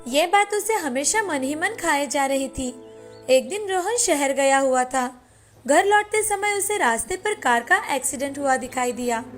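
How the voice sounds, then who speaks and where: A woman's voice: high-pitched, expressive, a little slow. A woman storyteller reading a scripted moral tale as narrator. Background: faint background music.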